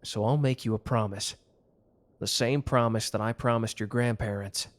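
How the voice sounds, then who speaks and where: A man's voice: on the low side, fairly even intonation, normal speed, natural voice. A man playing a protective, devoted mafia lover with a hot temper, speaking earnestly in an intimate romantic audio drama. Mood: tender, reflective.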